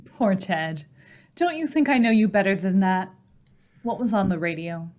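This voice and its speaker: A woman's voice: mid-range voice, animated, moderate pace, natural voice. A woman as a composed, knowing radio-play wife. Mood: fondly probing. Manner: gentle, coaxing, composed. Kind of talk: old-time radio play acting.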